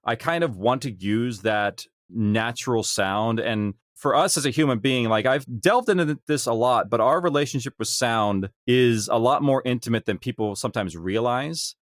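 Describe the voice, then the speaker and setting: A man's voice: mid-range voice, moderate pace, hardly any pauses, dry recording, studio quality. A man speaking as a podcast guest about his creative work, earnest, reflective and discursive. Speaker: audio drama creator, interview guest. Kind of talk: reflective podcast interview talk.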